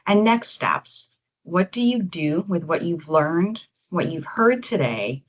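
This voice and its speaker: A woman leading a recorded webinar session as an organization's executive director, speaking in a measured, deliberate way. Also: on the low side, animated. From Canada.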